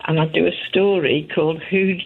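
A woman's voice: low-pitched, fairly even intonation, brisk. A woman from Leicester with an East Midlands accent, calling in to a late-night radio phone-in and chatting cheekily with little laughs. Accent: East Midlands English. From Leicester, England. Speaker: caller. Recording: muffled phone line.

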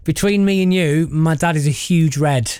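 A man's voice: fairly high pitch, a little mellow, clear, resonant tone, dry recording, studio quality. A man from South Yorkshire with a South Yorkshire accent, hosting a radio phone-in and chatting casually and jokily with callers. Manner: friendly, chatty, quick to joke. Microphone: studio microphone.

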